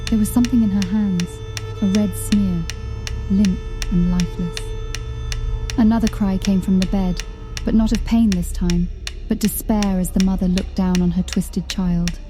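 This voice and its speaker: A woman's voice: mid-range voice, fairly even intonation, normal speed, music in the background. A woman reading a scripted horror story as an audiobook narrator, in a dramatic storytelling style.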